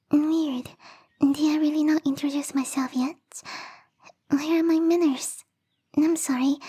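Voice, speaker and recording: A woman voicing a bubbly, lovestruck young female snow spirit in a soft, breathy ASMR roleplay whisper, coy and rambling. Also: high voice. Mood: polite, chatty.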